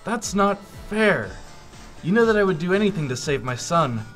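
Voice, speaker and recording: A man's voice: sits high, big pitch swings, normal speed, fairly bright tone, voice pushed up. A man playing an anguished suburban father who refuses to kill, speaking in a strained, pleading, hesitant way in an amateur audio drama. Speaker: protagonist. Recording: faint background music.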